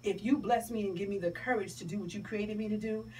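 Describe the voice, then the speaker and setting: A Southern US woman gives an emphatic, colloquial interview with an animated delivery and a mid-range pitch. She is recorded from some distance in a small studio, with clear room noise around her. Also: quick pace. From South Carolina, USA. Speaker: interview guest, playwright and motivational speaker. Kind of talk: radio interview, promotional chat. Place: small radio studio, indoors.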